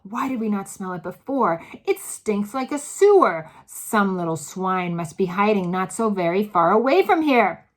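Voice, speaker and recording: A woman voicing a crowd of excited witches crying out in disgust. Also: medium pitch, normal speed, few pauses. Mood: excited outcry. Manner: loud, excited cries.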